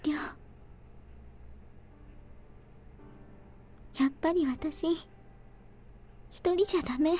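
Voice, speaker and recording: A woman playing a frail, lonely young woman, quiet, hesitant and resigned, in a Japanese visual novel. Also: sits high, quick pace, natural voice. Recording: background music behind the voice.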